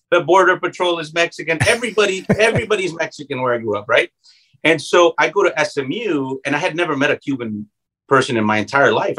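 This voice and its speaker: A man from South Texas chats as an interview guest in a slightly high voice. He tells animated stories, often restarting and repeating words as he builds to the point. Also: rough recording. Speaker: guest actor. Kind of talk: conversational interview storytelling.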